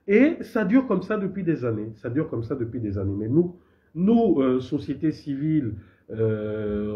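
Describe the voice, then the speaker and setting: A man's voice: mid-range voice, highs cut off. A man from Gabon, a civil society activist with a cold, making an impassioned statement, indignant and emphatic, stumbling and repeating himself. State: has a cold.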